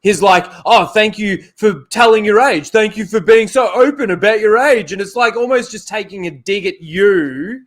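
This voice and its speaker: A man hosting a casual panel chat, retelling an exchange in an animated, indignant way. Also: high-pitched, normal speed, few pauses. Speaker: host.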